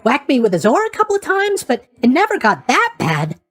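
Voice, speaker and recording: A man's voice: very high-pitched, huge pitch swings, a little fast, a bit bright, full character voice. A man playing a sleepy, flippant young god of sleep in a video game, with a chirpy, scatterbrained, cheerfully tactless delivery. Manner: chirpy, scatterbrained, mock-sympathetic delivery with stammers and trailing questions. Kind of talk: video game character voice acting.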